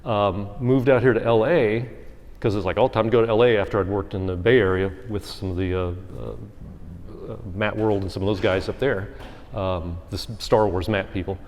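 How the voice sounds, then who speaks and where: A man's voice: on the low side, fairly even intonation, moderate pace, a little mellow, dull audio. A man speaking as a panelist in loose, filler-heavy casual talk. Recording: reverberant convention hall.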